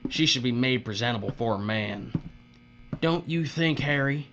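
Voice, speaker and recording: A man's voice: mid-range voice, normal speed, lightly acted. Man acting cruel folksy bully, muffled hum. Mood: gloating, bossy. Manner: taunting drawl with folksy uneducated grammar. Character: cruel, leering, folksy male bully boss in a dystopia. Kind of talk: audio drama acting. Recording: muffled audio with steady mains hum.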